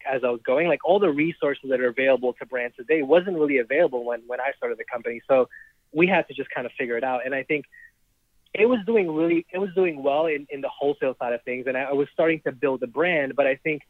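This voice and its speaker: A man in his thirties from Trinidad and Tobago, a startup founder telling his story in a relaxed interview, with lots of ums and restarts. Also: mid-range voice, quick pace, no echo. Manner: relaxed, laughs often, many ums and restarts. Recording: dry, tinny phone-like remote line.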